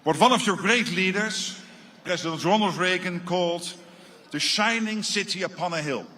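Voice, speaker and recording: A man with a Dutch accent delivering an earnest, deliberately phrased formal speech as a guest speaker. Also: high-pitched, sharp tone, slight background noise. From Netherlands.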